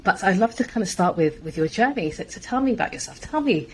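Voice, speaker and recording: A woman's voice: mid-range voice, expressive, quick pace, a little hiss or hum, rough recording. A woman hosting a podcast interview, effusive and full of fillers and restarts. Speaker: podcast host. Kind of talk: warm interview chat.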